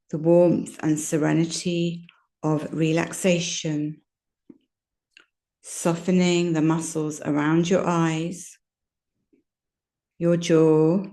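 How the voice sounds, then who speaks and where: Slow, low-voiced woman guiding relaxation. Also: little pitch movement. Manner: gentle, soothing, unhurried with long pauses. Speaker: yoga nidra teacher. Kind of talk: guided relaxation meditation. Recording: roomy, echoing sound.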